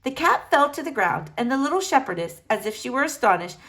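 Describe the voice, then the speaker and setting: A woman reads a children's story aloud in an expressive way. Her voice sits fairly high and moves up and down in a lively fashion. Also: brisk. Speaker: reader. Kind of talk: children's book read-aloud.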